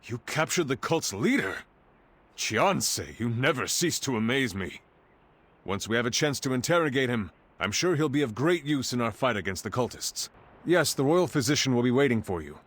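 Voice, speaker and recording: An actor playing an earnest, dutiful palace official in a video game, speaking formally and gravely. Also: male voice, mid-range voice, animated, normal speed, fairly bright tone. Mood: impressed. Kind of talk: video game cutscene voice acting. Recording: music behind the voice.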